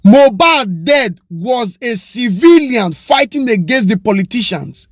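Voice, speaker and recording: A Nigerian man speaks in a high voice with a Pidgin English accent, close to the mic in a dry, dead space. He argues with passion, throwing out rhetorical questions and switching into Yoruba now and then. It sounds like an opinion monologue. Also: animated, a little slow, no room sound. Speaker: commentator.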